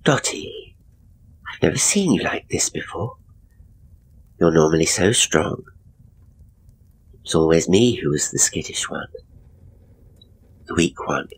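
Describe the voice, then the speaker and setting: A man voicing a skittish, anxious woman with a breathy, worried, questioning manner in a horror story. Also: low-pitched, expressive, takes it slowly, long pauses, exaggerated voice, rough recording. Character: skittish younger woman, anxious sister and secret conspirator.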